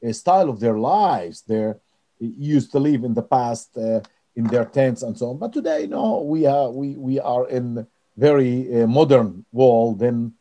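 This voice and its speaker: A middle-aged or older man from Israel with a Middle Eastern accent, a non-native English speaker answering interview questions earnestly and haltingly. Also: mid-range voice, mellow. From Nazareth, Israel. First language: not English. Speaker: interview guest, director of a peace organization.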